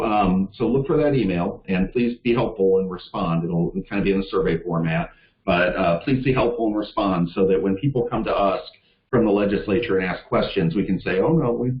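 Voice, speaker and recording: Deep-voiced man urging on a conference call. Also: brisk. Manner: informal, filler-heavy, earnest and urging. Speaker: staff presenter for a league of cities. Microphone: call audio. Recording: echoey, dull call audio.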